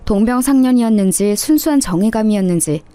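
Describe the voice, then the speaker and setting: A woman's voice: a little high, expressive, fast, warm tone, smooth, dry recording. A woman reading a scripted first-person story as a narrator.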